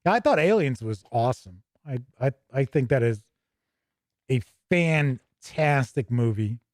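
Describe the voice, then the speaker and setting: Slow, rambling man hosting a gaming show. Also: mid-range voice, lively intonation, a little mellow, no echo, clean studio sound. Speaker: host of a tabletop gaming show. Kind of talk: casual unscripted commentary while leafing through a book.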